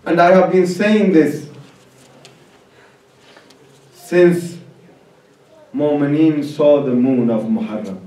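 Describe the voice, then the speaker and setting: A man preaching a mournful, impassioned Shia lament sermon in a declamatory way, with drawn-out phrases and rhetorical questions. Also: fairly high pitch, warm tone. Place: large indoor congregation hall. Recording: echoey hall, distant microphone.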